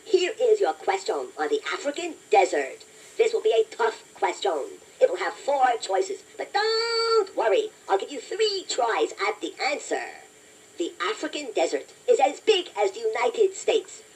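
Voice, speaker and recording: High, animated toy robot quiz host. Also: female voice, slightly rough, heavily acted. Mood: encouraging. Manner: encouraging, playful, deliberate, addresses listener directly. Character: chatty, playful, self-deprecating toy robot quiz host. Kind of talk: scripted children's quiz narration. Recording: noisy toy cassette playback, distant from mic.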